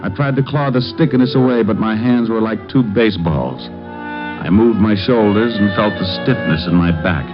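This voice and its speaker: An actor playing a cool, flirtatious private detective who also narrates in the first person, in a 1950s radio drama with a dry, wry delivery. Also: male voice, lowish voice, normal speed, close to an everyday voice. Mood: narrating. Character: wisecracking male private eye, cool and flirtatious. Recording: dull old radio broadcast with music.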